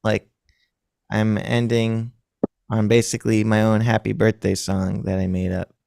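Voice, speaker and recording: A man's voice: fairly low pitch, level tone, a little slow, gravelly, darkish tone, no echo, studio quality. A man in his thirties, a musician chatting casually in a rambling, self-deprecating way and laughing often. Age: 30s. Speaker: musician guest. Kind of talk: casual chat.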